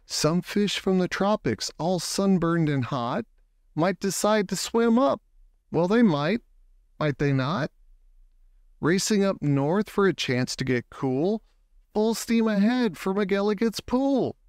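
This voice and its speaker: A man reading a children's picture book aloud expressively, with deliberate phrasing and words drawn out for emphasis. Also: sits high, animated, darkish tone, clear, resonant tone, dry recording, studio quality. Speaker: storybook reader.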